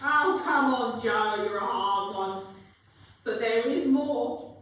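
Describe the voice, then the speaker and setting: A woman's voice: a little high, slow pace, fairly bright tone. A young woman playing a brazen, scornful old charwoman in a theatrical live reading. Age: young adult. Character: brazen, unrepentant old charwoman selling stolen goods. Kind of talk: theatrical dramatic reading of Dickens. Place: live performance in echoey castle museum room. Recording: very echoey museum room, distant, faint noise.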